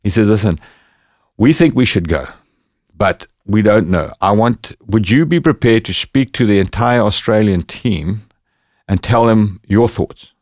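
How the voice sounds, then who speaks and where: Podcast guest, Zimbabwean man, low-ish voice, animated storyteller, dry room. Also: unhurried, no room sound. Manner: animated anecdotes, lively quoted dialogue. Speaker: podcast guest, former bodyguard. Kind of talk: casual storytelling.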